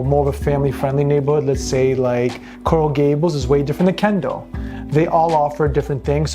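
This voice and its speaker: Earnest man, music under him. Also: a little high. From Boston. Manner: earnest, conversational, with some restarts. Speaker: real estate agent, guest. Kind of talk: interview.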